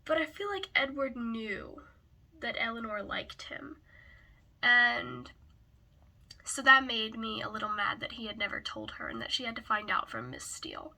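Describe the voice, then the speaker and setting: A woman who is hoarse and raspy from a cold gives a casual book review, often hesitantly searching for words. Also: fairly high pitch, lively intonation, normal speed, pushed and bright.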